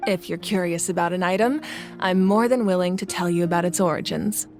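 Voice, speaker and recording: A woman playing a calm, confident ruler in an anime-style video game, speaking in a measured, reassuring way. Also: on the low side, soft background music, natural voice. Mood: composed, reflective. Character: composed adult female archon leader, reassuring and confident.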